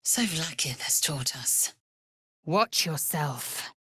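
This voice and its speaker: An actress voicing a fierce, haughty young alien warrior woman in a video game, husky and breathy, with an imperious, clipped, formal manner. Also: low voice, animated, slow, a bit bright, slight background noise, heavily acted. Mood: stern, haughty.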